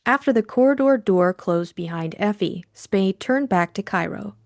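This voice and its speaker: A woman's voice: medium pitch, lively intonation, moderate pace, no echo, clean studio sound. A woman reading scripted audiobook narration of a detective novel.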